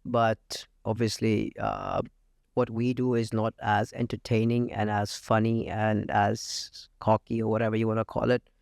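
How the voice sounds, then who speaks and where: Man from India, gravelly voice, dry close mic, confident podcast guest, plain matter-of-fact delivery. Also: fairly low pitch, flat delivery, unhurried, darkish tone, no echo. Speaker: real estate agent, podcast guest. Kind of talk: casual interview talk. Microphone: podcast studio mic.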